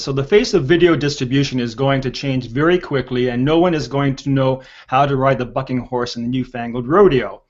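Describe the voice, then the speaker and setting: An older man moderating a panel show over a video call. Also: medium pitch. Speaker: host and moderator. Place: indoor room on a video call. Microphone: video call, earpiece worn. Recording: dull, lo-fi video call audio.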